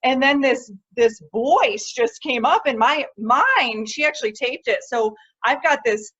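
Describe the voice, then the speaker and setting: A woman's voice: high-pitched, unhurried, a bit bright, slightly rough. Eager woman host, dull lo-fi sound. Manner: eager, affirming, shares own experience. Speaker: podcast host. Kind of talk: interview.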